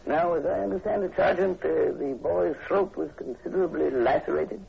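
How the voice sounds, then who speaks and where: An actor playing an inquisitive university professor in a 1940s radio horror drama, measured and probing, with hesitant, deliberate phrasing. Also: male voice, a little high, vocal fry, breathy edge, exaggerated voice. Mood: probing, thoughtful. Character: inquisitive male university professor investigating eerie killings. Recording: muffled, hissy old radio recording.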